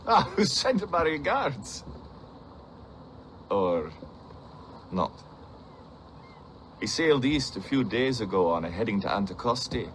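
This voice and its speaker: An actor playing a courteous, curious naval captain and explorer in a video game drama, speaking formally and politely. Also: male voice, medium pitch, big pitch swings, slow, long pauses, fairly bright tone, slight background noise. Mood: cordial.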